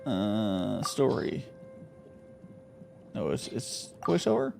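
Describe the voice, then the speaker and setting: Gravelly man streaming a game, lively and jokey, soft game music behind. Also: fairly low pitch, very slow. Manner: rambling, joking, laughs often. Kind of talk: casual game commentary.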